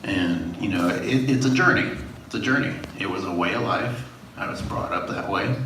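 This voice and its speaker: Deep-voiced guy on a festival panel, thoughtful, kinda repeats himself, echoey far mic, noisy room. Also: normal speed, sharp tone. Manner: reflective, with laughter between points. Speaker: documentary filmmaker and panelist. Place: festival screening venue. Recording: echoey room, distant mic, background noise.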